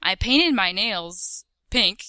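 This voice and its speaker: Woman co-reader, lively pitch, unhurried and giggly, reading a silly story aloud. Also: clean tone, no room sound. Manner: giggly, breaking into laughter while reading. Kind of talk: reading a parody fanfic aloud.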